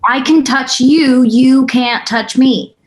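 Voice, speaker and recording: A woman's voice: sits high, takes it slowly. A woman playing a frantic, insistent young woman who believes she is a ghost, in a script table read. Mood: insistent, excited.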